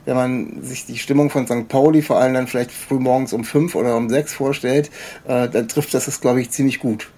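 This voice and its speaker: A man's voice: medium pitch, steady tone, brisk, mellow, slight background noise. A man interviewing a guest in casual chat, with a rambling, roundabout way of asking questions. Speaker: podcast host, interviewer.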